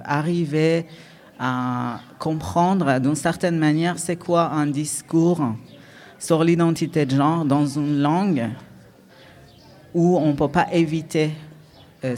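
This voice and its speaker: A man's voice: fairly high pitch, darkish tone. A man in his thirties from Iran, a native Persian speaker with a Persian accent, answering interview questions as a dance artist in a searching, reflective way full of hesitations.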